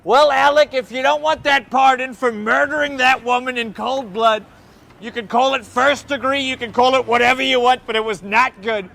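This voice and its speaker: A very high, creaky voice of a brash older politician. He taunts and wheedles, repeating his phrases like a street heckler. It is recorded outdoors in the city. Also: fairly even intonation, normal speed, bright, forceful tone, full character voice. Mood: boastful, mocking. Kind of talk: street prank heckling in character.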